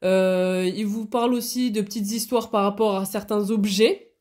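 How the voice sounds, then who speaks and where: A woman's voice: mid-range voice, fairly even intonation, normal speed, darkish tone. A woman with a cold and a blocked nose, chatting casually in a hobby vlog, with many hesitations and restarts. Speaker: hobby vlogger. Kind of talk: casual chat.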